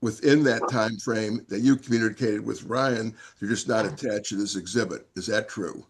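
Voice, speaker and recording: A man working as a defense attorney, questioning and arguing in court with halting, repetitive, wordy phrasing. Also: lowish voice, breathy edge. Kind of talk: courtroom cross-questioning and argument.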